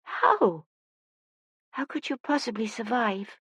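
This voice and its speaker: A woman's voice: a little high, very animated, slow pace, fairly bright tone, slightly rough, dry recording, pitched above the performer's own voice, heavily acted. A woman voicing a frightened, polite young lad in an audiobook, with a small, shaky, pleading voice. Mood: bewildered.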